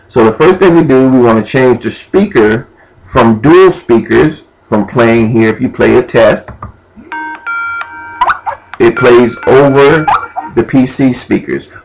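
A man with a medium-pitched voice presents an amateur computer tutorial. He talks informally and without a script, instructing step by step and thinking aloud as he works through the screens. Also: rough recording. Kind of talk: unscripted screen-recorded software walkthrough. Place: indoors at a computer.

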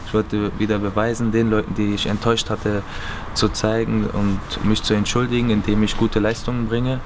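A man's voice: fairly low pitch, flat delivery, moderate pace. A young man from Berlin answering interview questions earnestly, seated in an empty stadium. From Berlin, Germany. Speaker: footballer. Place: empty stadium stands, outdoors. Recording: noisy outdoor stadium recording.

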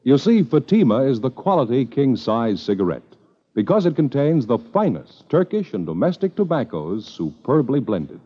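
An American man reading a 1950s radio advertisement as a commercial announcer, with a husky voice and a polished sales delivery. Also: mid-range voice, very animated, normal speed, dark tone. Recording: dull vintage radio recording.

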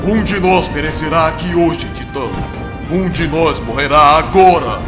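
Intense comic-book hero, male actor, high-pitched and slow, declamatory, in heavy reverb. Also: natural voice. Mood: grim resolve. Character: earnest embattled male superhero, defiant, intense. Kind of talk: dramatic motion comic dubbing. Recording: echoey hall reverb, loud music underneath.

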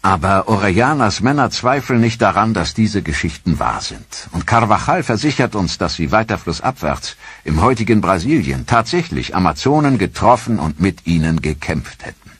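A man narrating a scripted historical documentary in a measured, dramatic storytelling manner. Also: sits low, very animated, a bit bright, breathy edge, no room sound.

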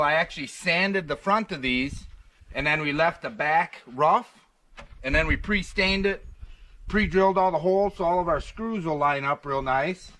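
A man's voice: a little high, sharp tone. A man, chatting casually in a folksy, self-deprecating, rambling way as he shows his building work. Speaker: homesteader vlogging his barn build. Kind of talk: casual walk-through chat. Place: outdoors at a rural building site and inside the wooden building.

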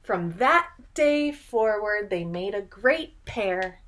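A young woman reading a picture book aloud to children in an expressive, dramatic way, pausing to ask the listener questions. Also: mid-range voice, noisy background. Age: young adult. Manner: dramatic, drawn-out delivery with gasps and prompting questions. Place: small room at home, indoors.

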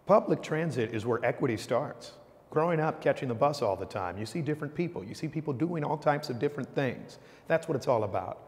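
Male, middle-aged, brisk public-address delivery, long reverb of a large hall. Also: mid-range voice, expressive.

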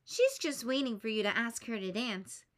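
A woman reading aloud, voicing a calm, earnest boy who encourages his friend. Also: fairly high pitch, moderate pace. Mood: urging.

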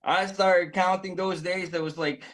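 A man of about forty from the Philippines gives an earnest, excited personal testimonial straight to a phone camera, often restarting his sentences. He is recorded close up in a small indoor room. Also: sits high, a little slow. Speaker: network marketing member sharing his story. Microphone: phone camera at close range.

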